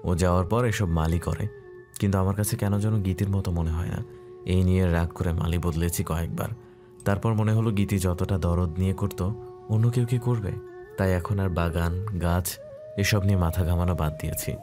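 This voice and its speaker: Guy playing wistful kind husband, widower, really low soft voice, romantic audio drama w music behind. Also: fairly even intonation, normal speed, natural voice. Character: gentle, wistful widowed husband, well-off businessman.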